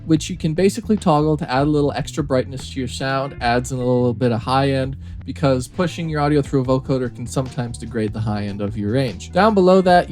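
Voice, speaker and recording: Male tutorial presenter, mid-pitched, casual and explanatory, walking through controls step by step, music in the background. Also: a little mellow. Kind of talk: explanatory software tutorial narration.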